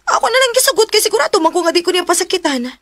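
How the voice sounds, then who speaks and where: A woman's voice: extremely high pitch, sing-song, very fast, a bit bright, no echo, exaggerated voice. A woman with a Cebuano accent playing a giggly, hesitant young single woman in a radio romance drama. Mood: exasperated, animated. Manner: teasing, exclamatory, giggly.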